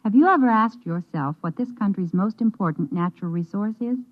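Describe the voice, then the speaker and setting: A woman's voice: medium pitch, fairly quick. A woman with an American accent reading a scripted 1950s radio public service message in an earnest, instructive manner. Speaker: singing schoolteacher giving a public service message. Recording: thin phone-like vintage radio recording.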